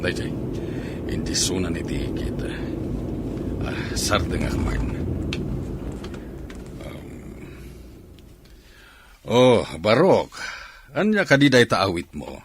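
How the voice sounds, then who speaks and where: A man's voice: deep voice, wildly swooping pitch, slow pace, pauses often, a little hiss or hum, heavily acted. An actor playing a persuasive, assertive factory recruiter in a radio drama, coaxing as he talks. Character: persuasive grown man recruiting village youths for factory work.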